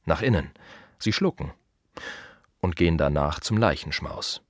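An actor playing a plain-spoken, reflective gravedigger in a radio play monologue, talking to the listener in short, repetitive, wry phrases. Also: male voice, very deep voice, expressive, unhurried, no echo, clean studio sound, full character voice. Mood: calm, wry.

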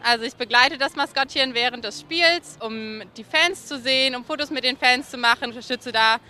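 A young woman, giving excited, casual interview soundbites as a volunteer. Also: high-pitched, a little fast. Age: 20s. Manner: excited, youthful talk.

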